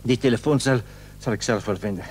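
Husky, stammering, frightened husband, played by an actor. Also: male voice, medium pitch, fairly quick, lightly acted. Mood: frightened, urgent. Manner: stammering, hesitant, repeating words. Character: anxious, frightened husband feeling his face swell. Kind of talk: Dutch radio play acting.

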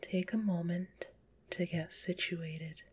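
A woman softly whispering a guided relaxation in an ASMR style, gentle and soothing, with a slightly stuffy nose. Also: fairly low pitch, fairly even intonation, slow pace, a little hiss or hum. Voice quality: breathy whisper; stuffy-nosed. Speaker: guided visualization narrator.

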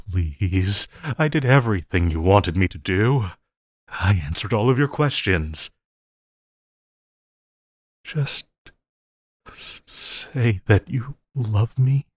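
A man as a lovestruck villain, slow theatrical drawl. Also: on the low side, slightly rough, no echo, studio quality, exaggerated voice. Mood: pleading. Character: obsessive lovestruck male villain, playfully menacing, pleading. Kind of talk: intimate audio roleplay acting.

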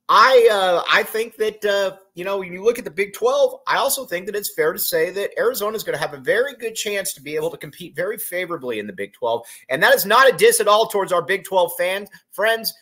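A man's voice: high voice, fairly bright tone. A man in his thirties hosting a solo sports podcast from a small room at home, rambling through a postgame reaction with many fillers and repeated phrases.

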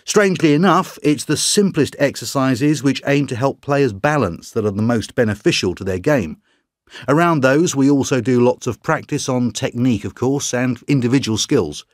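A man speaking as a sports trainer in a measured, explanatory scripted talk for an exam listening track. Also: medium pitch, dry recording, clean studio sound.

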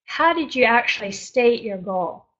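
An older woman leads an online coaching group from her home office, upbeat and encouraging. Her voice sits fairly high, and she talks into a laptop mic on a video call. The audio is dull and lo-fi. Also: expressive, a little slow, fairly bright tone. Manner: upbeat, encouraging, laughs often. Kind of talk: live webinar coaching, reading chat aloud.

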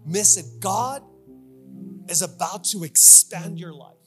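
A man's voice: high-pitched, slow pace, a bit bright, faint music behind. A man in his thirties preaching a sermon in an informal, conversational way, repeating phrases for emphasis, on a handheld mic. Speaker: pastor preaching at a church service. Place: church stage indoors. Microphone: handheld microphone.

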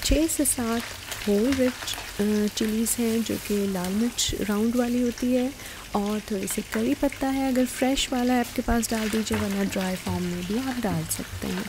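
High-pitched woman narrating a recipe. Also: measured pace. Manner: instructional, addresses viewer directly. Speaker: cooking show host. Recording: clear sizzling and stirring kitchen noise. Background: frying, sizzling and stirring.

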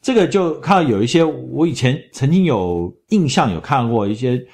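A middle-aged man, chatting in a travel vlog voice-over and telling stories in an animated way. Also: medium pitch, normal speed. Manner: chatty, animated storytelling with laughs. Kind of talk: casual travel commentary voice-over.

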